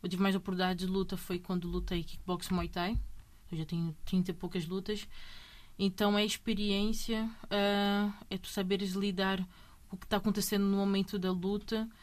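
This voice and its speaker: A woman's voice: medium pitch, level tone, normal speed. A woman in her thirties from Brazil whose Portuguese accent mixes Brazilian and European Portuguese. She talks in a chatty interview style, restarts her sentences often and tags them with little checks on the listener. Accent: Brazilian Portuguese mixed with European Portuguese. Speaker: MMA fighter, guest. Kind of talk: casual interview conversation.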